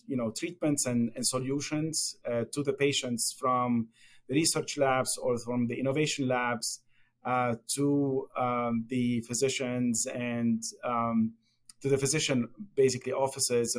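A middle-aged man from Lebanon with a Lebanese accent, answering as a guest on a podcast interview, speaking haltingly with many fillers and restarts. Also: medium pitch. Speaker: pharmaceutical company executive, guest.

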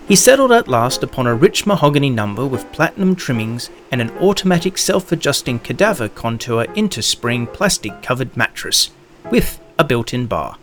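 A man reading scripted narration as the narrator of an audio production. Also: mid-range voice. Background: background music.

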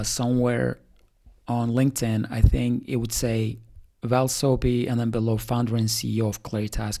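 A man talks through a casual update in a rambling way, with plenty of ums and restarts. His voice is low in brightness, mellow and dark, with a gravelly fry running through it. He sounds like a startup founder thinking out loud. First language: Albanian. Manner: rambling, with many fillers and restarts.

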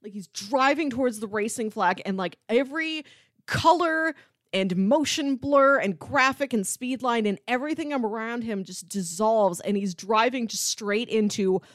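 A woman's voice: fairly high pitch, moderate pace, dry recording, clean studio sound. A woman co-hosting a casual film discussion, speaking in an enthusiastic, emphatic way full of hyperbole. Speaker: podcast co-host.

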